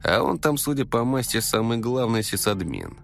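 Male storyteller, animated pitch, coarse street slang, comic first-person narration, music underneath. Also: moderate pace, gravelly.

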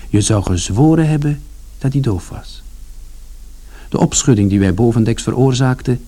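A man's voice: mid-range voice, lively intonation, moderate pace, warm tone, lightly acted. An actor playing a wry, sardonic first-person narrator in a radio play, telling his family story in blunt colloquial words. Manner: sardonic, colloquial, mildly swearing.

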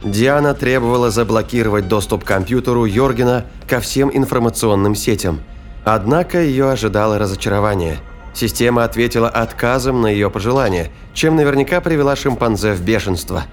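A man's voice: medium pitch, fairly quick, soft background music. A man narrating a science fiction thriller as scripted, dramatic audio fiction.

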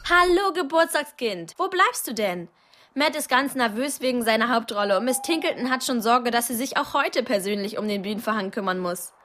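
An actress playing a chatty, excitable young girl in a radio play. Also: fairly high pitch, brisk. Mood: cheerful.

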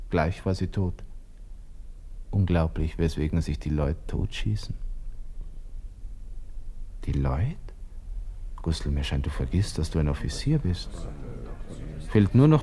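Low-voiced brooding young Viennese lieutenant. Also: male voice, lively intonation, measured pace, long pauses, dark tone, slight background noise. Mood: grim, brooding, then self-scolding. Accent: Austrian, Viennese. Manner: muttering to himself, colloquial, broken musing. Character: young Austrian army lieutenant, despairing, brooding over suicide. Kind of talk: radio drama interior monologue, close and intimate.

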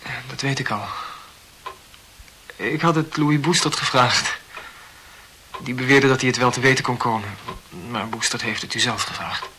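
An actor playing an earnest, awkward young medical student who talks politely and over-explains in a radio play. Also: male voice, medium pitch, moderate pace, long pauses, background noise, lightly acted. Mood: awkward, confessional.

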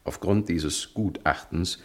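An actor playing a smug male criminal in a 1960 radio crime drama, condescending, amused and deliberate. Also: sits low. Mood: amused, superior. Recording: vintage 1960 radio recording.

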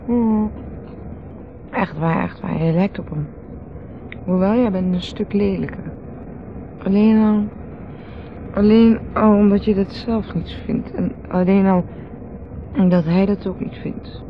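A woman playing a bitter, mocking wife in a radio drama, speaking in a sardonic, halting way. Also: medium pitch, natural voice. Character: bitter, mocking wife needling her brother-in-law. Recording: dull noisy old radio recording, outdoor ambience.

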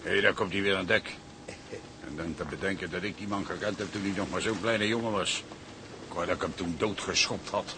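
A man's voice: sits low, fairly even intonation, a little fast, background noise. An actor playing an older, salty seaman in a drama, grumbling and sardonic. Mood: bitter. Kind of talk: dramatic dialogue.

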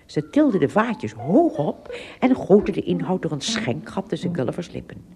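A woman with a lowish, mellow voice tells a children's story. Her delivery is animated, full of dramatic pauses and small asides, with a slightly husky tone. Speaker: narrator. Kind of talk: scripted children's story narration.

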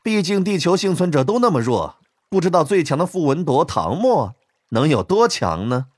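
A man voicing an arrogant foreign male fighter in an audiobook, hoarse, mocking and scornful. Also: fairly high pitch, big pitch swings, moderate pace, no room sound, studio quality, voice pushed up. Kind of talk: audiobook character dialogue.

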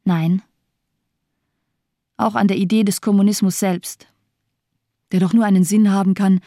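A woman's voice: medium pitch, fairly even intonation, a little slow, mellow, no echo, studio quality, lightly acted. An actress playing an embittered, disillusioned woman survivor in a radio drama, speaking coldly with bitter sarcasm. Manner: cold, lifeless, sardonic delivery.

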